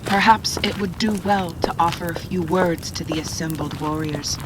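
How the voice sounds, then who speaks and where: A woman's voice: fairly low pitch, moderate pace, natural voice. A woman playing a warlord's devoted, shrewd consort in an audio drama. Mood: devoted, conspiratorial. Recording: noticeable background noise.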